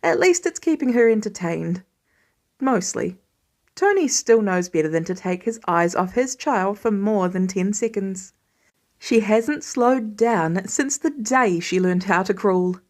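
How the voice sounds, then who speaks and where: A woman reading fan fiction aloud as an expressive audiobook-style narrator. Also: mid-range voice, a little slow. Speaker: podfic reader.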